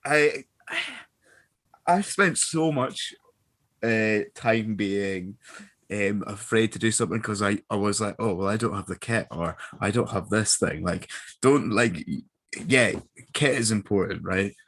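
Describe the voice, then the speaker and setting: A man's voice: on the low side, measured pace, fairly bright tone. A man chatting casually and giving advice as a guest voice actor, informal and emphatic, often repeating himself.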